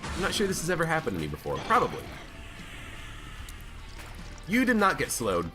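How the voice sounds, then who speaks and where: A man with a stuffed-up, hoarse voice, chatting casually as he plays a video game. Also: fairly high pitch, wildly swooping pitch, normal speed. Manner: chatty, thinking aloud while playing. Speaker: gaming channel host. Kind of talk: casual let's play commentary. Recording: background game music.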